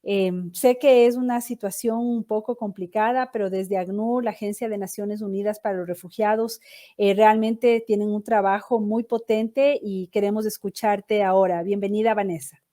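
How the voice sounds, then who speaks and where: A woman's voice: mid-range voice, steady tone, normal speed, dark tone. A woman moderating an online panel, introducing speakers with frequent hesitations. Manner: hesitant, with many "eh" fillers.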